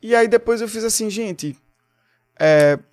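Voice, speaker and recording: A young man from Pernambuco with a Pernambuco Portuguese accent, a singer chatting as a guest on a podcast in an animated, storytelling way. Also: sits high, unhurried. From Pernambuco, Brazil. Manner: animated, storytelling, quotes people. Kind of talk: casual podcast chat.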